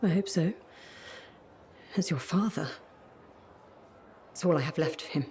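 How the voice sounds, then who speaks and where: A woman's voice: on the low side, unhurried, warm tone, slight background noise. An actress playing a confused, grieving middle-aged mother in a video game, wistful and hesitant. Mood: troubled. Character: fragile, confused, grieving older widowed mother. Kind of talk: video game dramatic acting.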